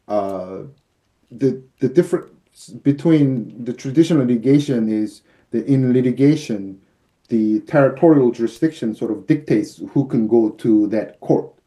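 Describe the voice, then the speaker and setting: A man in his fifties with a deep, mellow and very dark timbre explains legal procedure patiently over a video call. He pauses often on fillers, keeping his pace measured. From United States. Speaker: arbitrator and mediator guest. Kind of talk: explanatory interview answers. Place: office with shelves, indoors. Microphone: video call webcam.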